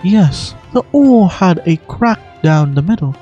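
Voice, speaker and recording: An amateur voice actor playing a calm, courteous female guardian from a video game, speaking in a measured, cautioning way. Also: medium pitch, highs cut off, faint music behind, close to an everyday voice. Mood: composed.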